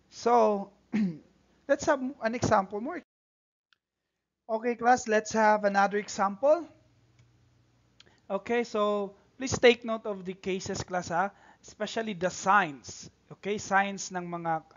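A man with a Filipino accent, a native Bisaya speaker, teaching step by step in a repetitive, instructional manner. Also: high-pitched. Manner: instructional, repetitive, checks in often. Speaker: math teacher. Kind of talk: tutorial lesson, code-switching explanation. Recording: dull, lo-fi voice-over recording.

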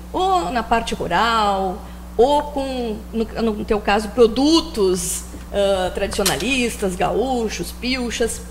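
Middle-aged host, brightish higher voice, friendly chatter, faint hum. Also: female voice, poor audio. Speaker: TV show host. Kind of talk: casual interview questions. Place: TV studio set styled as rustic ranch. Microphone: handheld mic.

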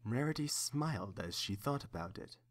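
A man's voice: mid-range voice, lively intonation, moderate pace. A man reading scripted third-person story narration as the narrator of an audio drama.

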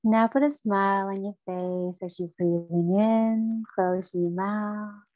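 Female meditation guide for kids, unhurried and gentle, encouraging instructions, very little room sound. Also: mid-range voice, steady tone, no room sound, dull audio. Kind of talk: guided breathing meditation for children.

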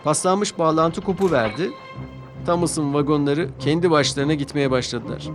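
A man narrating a children's cartoon storybook-style, with clear and expressive delivery. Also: on the high side, moderate pace. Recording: music playing behind.

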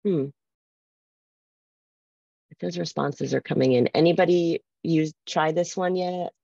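A middle-aged woman presenting an informal online workshop, thinking aloud in a halting way as she reads out responses. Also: low voice, creaky, mellow, no echo. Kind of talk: informal conference workshop talk, reading out poll responses. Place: video call from a room with bookshelves. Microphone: computer mic on video call. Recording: slightly dull video-call audio.

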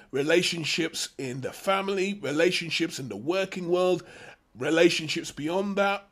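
Man, Bible study teacher, slightly high voice, measured delivery, emphatic phrasing.

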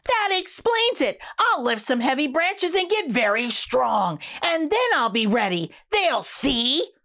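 A woman's voice: high voice, big pitch swings, unhurried, pushed and bright, full character voice. A woman voicing an eager, easily upset young rutabaga boy in a storybook, exclaiming with wide-eyed emphasis. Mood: excited.

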